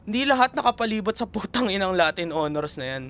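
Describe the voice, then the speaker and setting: Male actor as young boyfriend, Filipino accent, animated swooping pitch, lightish register, tender, proud, audio roleplay. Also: fast talker. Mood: angry, sarcastic. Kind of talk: ASMR boyfriend roleplay drama.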